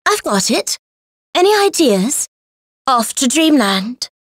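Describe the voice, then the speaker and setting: Slow, husky dreamy dragon woman. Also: sits high, very animated, no echo, studio quality, full character voice. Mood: sleepy. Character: gentle, dreamy adult dragon woman in fairy dress. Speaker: voice actor. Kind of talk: scripted game character voice clips.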